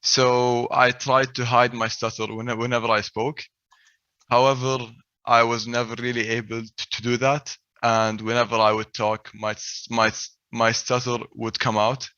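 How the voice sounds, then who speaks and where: A man's voice: mid-range voice, sharp tone, no room sound. A young man from Saudi Arabia giving a personal talk at a stuttering event, speaking with a heavy stutter that repeats first sounds. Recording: dry, slightly dull sound.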